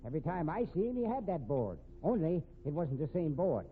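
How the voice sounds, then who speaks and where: An actor playing a wily, genial old amateur sleuth in a 1950s radio drama, with a folksy ungrammatical drawl and a habit of repeating himself. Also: male voice, a little high, big pitch swings, brisk. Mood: shrewd, accusing. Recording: tinny band-limited old radio recording, hissy.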